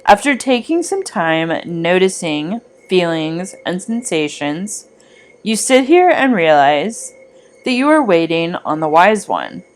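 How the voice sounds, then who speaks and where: A woman reading a scripted guided meditation in a measured, gently instructing manner. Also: lowish voice, sing-song.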